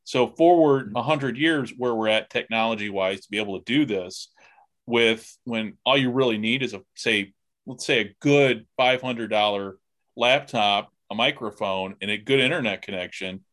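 A man hosting a podcast interview in a casual, halting way, thinking aloud as he talks. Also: lowish voice, a bit bright. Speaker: podcast host interviewing guests.

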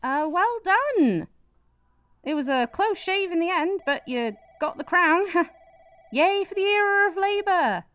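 A woman's voice: extremely high pitch, lively intonation, slow, a bit bright, full character voice. A woman playing an earnest political aide in a satirical radio play, prompting her boss. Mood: eager, urging. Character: eager female political aide to a prime minister.

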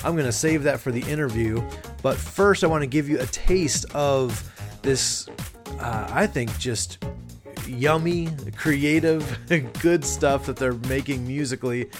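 A man's voice: medium pitch, unhurried. A man hosting a podcast, introducing his guests with enthusiastic, chatty talk and filler words. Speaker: podcast host. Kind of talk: show intro. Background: music playing.

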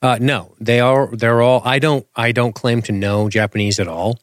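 A man's voice: medium pitch, fairly even intonation, normal speed, no room sound. A man co-hosting a casual TV episode recap, keeping the talk on track with dry, teasing humor. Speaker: podcast co-host.

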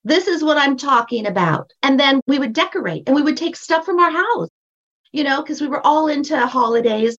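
Emphatic older woman with a high voice. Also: lively intonation, fairly quick, fairly bright tone, lo-fi sound. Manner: emphatic, storytelling, repeats phrases for stress. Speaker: guest, brand strategist and book coach. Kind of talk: podcast interview.

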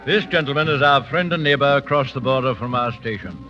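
An actor playing a stern British colonel, clipped, formal and commanding, in 1930s radio drama style. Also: male voice, medium pitch, a little fast, close to an everyday voice. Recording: dull 1930s radio recording, faint music.

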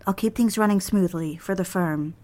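A woman's voice: mid-range voice, normal speed, mellow, lightly acted. An actress playing a proper, dutiful and easily flustered young secretary in an audio drama, a woman speaking hesitantly and earnestly. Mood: devoted, gentle. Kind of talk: dramatic audio fiction, intimate dialogue.

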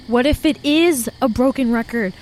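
Suspicious, stubborn young female hiker, played by a woman, higher pitch, urgent and natural, audio drama. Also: moderate pace, a little mellow, a little hiss or hum, natural voice, rough recording. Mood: insistent, paranoid. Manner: earnest, insistent, urgent. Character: suspicious, headstrong young woman lost camping, haunted by lake.

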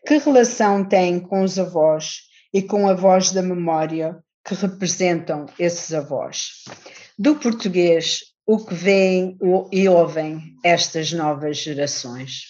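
A middle-aged woman from the Azores, raised in California, reading a prepared conference paper in a measured, reflective way. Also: on the low side, darkish tone. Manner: measured, reflective, bilingual delivery. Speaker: conference panel speaker. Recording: dull lo-fi audio.

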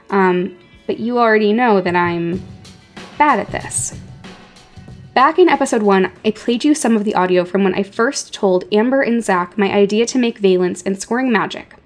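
A woman speaks as a podcast host over a music bed, at a medium pitch. She reads scripted narration but slips in casual, wry asides. She repeats words emphatically for effect.

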